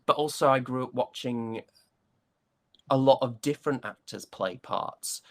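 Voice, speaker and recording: A man from Yorkshire with a softened British accent, chatting reflectively and hesitantly as an interview guest. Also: medium pitch, measured pace, no room sound. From Yorkshire, England. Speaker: interview guest, stage and voice actor. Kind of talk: casual interview chat.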